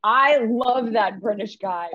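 A woman's voice: a little high, slow pace, a bit bright, highs cut off. A woman co-hosting a wellness podcast from home, chatting casually and laughing often. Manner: giggly and chatty. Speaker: podcast co-host.

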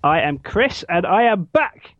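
A man hosts a casual podcast in an informal, self-deprecating way. His pitch moves up and down a great deal. The recording is dry and somewhat dull. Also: sing-song, unhurried, no echo. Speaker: podcast host. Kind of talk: casual chat, hosting an animation podcast.

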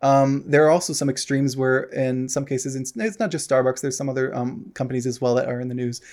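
A man's voice: mid-range voice, little pitch movement, a little fast, a little mellow. An American man co-hosting a casual podcast, rambling with lots of fillers and restarts. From United States. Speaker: podcast co-host.

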